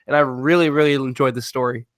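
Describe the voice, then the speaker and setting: A young man co-hosting a casual gaming podcast, loud, excitable and boastful, often feigning outrage. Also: mid-range voice, quick pace, no echo, studio quality. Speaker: podcast co-host.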